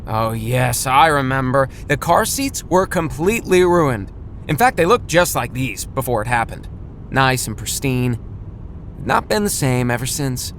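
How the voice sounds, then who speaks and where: Actor as caring father, medium pitch, very lively intonation, fretful, chiding, kids' audio play. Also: a little slow, slight background noise. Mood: scheming. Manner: expressive, gently chiding. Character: anxious, caring father of two children. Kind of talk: children's audio drama acting.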